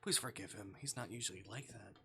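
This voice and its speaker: A streamer voicing a polite, calm young village man from a visual novel, soft-spoken, measured and gently protective. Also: mid-range voice, gravelly, voice pushed down. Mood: gentle concern.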